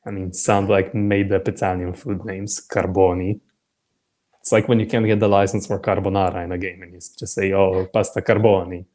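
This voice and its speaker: Low mellow guy cracking wry jokes about football. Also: level tone, fairly quick. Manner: wry, joking. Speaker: podcast co-host. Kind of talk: casual football chat.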